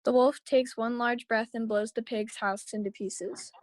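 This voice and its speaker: High-voiced woman narrating, dry sound. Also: fairly even intonation, measured pace, no echo. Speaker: reader of action lines. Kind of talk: scripted narration at a table read. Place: remote video call, close dry sound.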